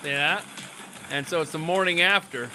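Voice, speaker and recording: A man's voice: a little high, normal speed, pushed and bright, slight background noise. A man co-hosting a show with casual, conversational banter. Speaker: show co-host.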